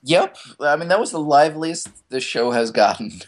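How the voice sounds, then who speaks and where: A man co-hosting a casual podcast, relaxed as he tells his co-host what clip to play next. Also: a little high, moderate pace. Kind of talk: casual chat.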